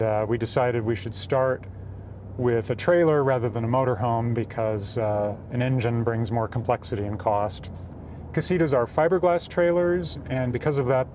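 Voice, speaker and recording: A man in his forties talks through an old camper in a calm, thoughtful way, pausing often to weigh what he sees. His voice sits at a plain middle pitch, and he sounds close to a clip-on mic. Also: a little hiss or hum. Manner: thoughtful, measured, frequent pauses and fillers. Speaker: vlogger touring a camper. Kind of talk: casual walkthrough narration. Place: small camper trailer and outdoors, plus a dim room for to-camera parts.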